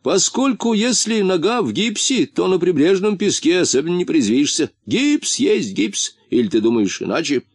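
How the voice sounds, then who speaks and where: A man's voice: sits high, very animated, normal speed, hardly any pauses, breathy edge, no echo, studio quality, voice pushed up, full character voice. Man playing a blunt, lilting storybook companion. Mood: reasoning. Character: Polbotinka, matter-of-fact male storybook companion, clumsily consoling.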